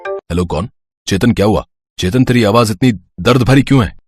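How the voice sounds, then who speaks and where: A businesslike police inspector in a cartoon story, acted by a man. He questions seriously and probingly. His voice is fairly deep and husky. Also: moderate pace, a little mellow, no room sound, soft background music. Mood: concerned.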